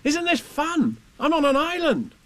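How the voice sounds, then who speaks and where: A man's voice: very high-pitched, very animated, normal speed, pitched above the performer's own voice, heavily acted. A man voicing an innocent, affectionate young boy in a children's story, earnest and childlike. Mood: excited, curious. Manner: bright-eyed, earnest, childlike questioning.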